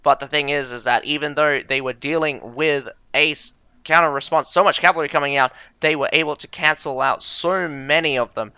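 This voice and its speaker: A man's voice: on the high side, steady tone, moderate pace, few pauses, sharp tone, clear, resonant tone, dry recording. A young man casting a strategy game live and chatting with viewers in a chatty, self-deprecating way. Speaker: game caster and streamer. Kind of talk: live game commentary with chat banter.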